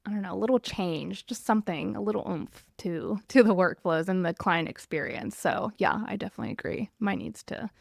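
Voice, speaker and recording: A woman's voice: medium pitch, brisk, vocal fry, hardly any pauses, no echo, clean studio sound. A young woman co-hosting a podcast, chatting casually and effusively in very informal speech. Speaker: podcast co-host. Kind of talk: casual chat between friends.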